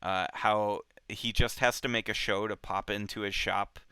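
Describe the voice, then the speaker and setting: A man casually discussing a book as a show host, thinking aloud in halting, repetitive phrases. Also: fairly low pitch, fairly even intonation, sharp tone, smooth, no echo.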